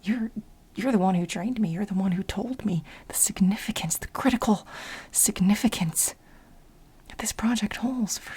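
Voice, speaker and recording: A woman playing an obsessive, exasperated administrative assistant in a soft-spoken, whispery ASMR role play, insistent and repeating herself as she pleads with her boss. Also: mid-range voice. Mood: urgent pleading. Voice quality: breathy, whispery. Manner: insistent, repetitive, halting for emphasis.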